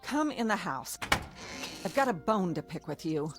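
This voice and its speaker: A woman's voice: on the low side, sing-song, moderate pace, over loud music. A woman playing a domineering, bigoted, nagging wife in a radio drama, scolding and sarcastic. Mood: impatient, bossy.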